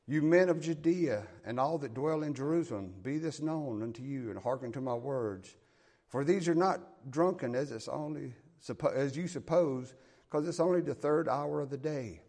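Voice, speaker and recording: A man's voice: a little high, darkish tone. A man with a Southern US accent preaching a sermon, reading scripture aloud and explaining it in a folksy, plain-spoken way. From American South.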